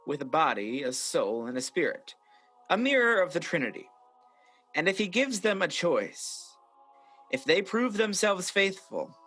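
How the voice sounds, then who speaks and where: An actor playing a proud, scheming angel of light in a radio play, speaking in a smooth, grandiose, theatrical way. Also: male voice, on the high side, pushed and bright, exaggerated voice, poor audio. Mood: grave, intense. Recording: radio play recorded over video call, music behind.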